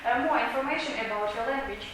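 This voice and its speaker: A woman student with a high voice asks questions hesitantly, filling her pauses with ums. She sounds far from the microphone. The classroom echoes and has background noise.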